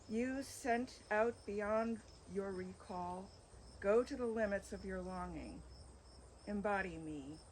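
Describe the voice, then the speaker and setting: A woman speaking at an outdoor memorial, reading a remembrance and a poem in a measured, gentle way. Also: medium pitch, slight background noise. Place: outdoors at a home on an island.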